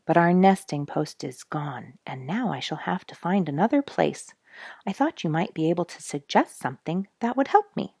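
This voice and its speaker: A woman's voice: fairly low pitch, lively intonation, moderate pace, vocal fry, a little mellow, breathy edge, no room sound, clean studio sound, exaggerated voice. A woman voicing a cheerful, polite but worried male bluebird from a storybook, earnest and chirpy. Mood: earnest explaining. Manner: chirpy, earnest, sing-song calls.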